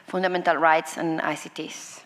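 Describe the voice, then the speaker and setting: A woman's voice: low voice, little pitch movement, moderate pace, fairly bright tone. A woman from Argentina, an NGO president briefly introducing herself on a panel.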